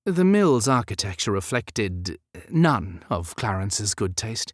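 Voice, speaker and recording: Male audiobook narrator, low register, measured and expressive storytelling, close dry studio sound. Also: no room sound. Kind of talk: scripted narration of historical romance fiction.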